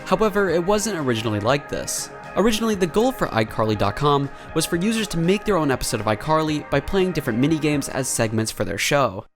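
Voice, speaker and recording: A man's voice: on the high side, sing-song, fast talker, few pauses. A man narrating a scripted explainer video. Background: prominent background music.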